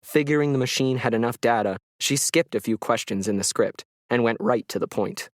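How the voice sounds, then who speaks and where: Even-voiced man narrating, close dry sound. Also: mid-range voice, a little fast, no room sound. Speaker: narrator of an audio science fiction story. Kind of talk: scripted story narration.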